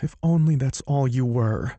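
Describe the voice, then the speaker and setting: A man's voice: mid-range voice, dark tone, dry recording, dull audio, pitched above the performer's own voice, natural voice. A man voicing an indignant, fiery young woman. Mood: exasperated. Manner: gasping, exasperated, quick to flare. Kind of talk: audiobook character voice.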